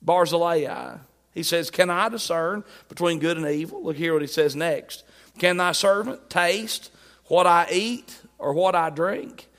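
A man's voice: a little high, measured pace, fairly bright tone. A man preaching a sermon, reading scripture and retelling it in plain, emphatic words. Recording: long hall reverb.

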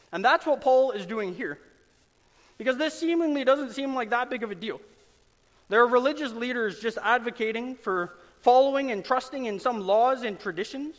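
Pastor, male, high voice; earnest, emphatic sermon delivery, church hall reverb. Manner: earnest, emphatic preaching with dramatic pauses.

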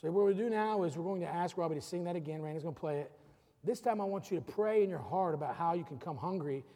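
Gravelly-voiced man preaching in a reverberant church. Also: on the high side, fast talker, dark tone. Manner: preaching with rising emphasis, urging the congregation. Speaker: pastor. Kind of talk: sermon. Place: church sanctuary.

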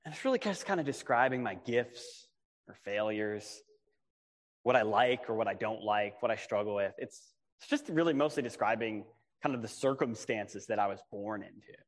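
A man with a big, projecting voice preaching a conversational sermon, casual and self-deprecating, often asking rhetorical questions. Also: medium pitch. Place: large reverberant room. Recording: reverberant hall.

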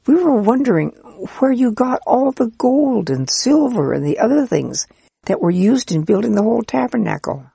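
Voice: mid-range voice, sing-song, moderate pace, gravelly, mellow, husky, no echo, voice pushed up, exaggerated voice. A reader voicing a group of polite, curious young visitors asking questions in a storybook. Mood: eager asking. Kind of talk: storybook character reading.

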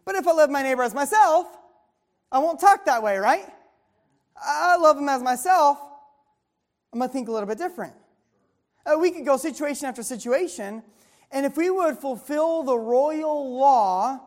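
Female preacher, high pitch, call-and-response sermon, slow scripture reading.

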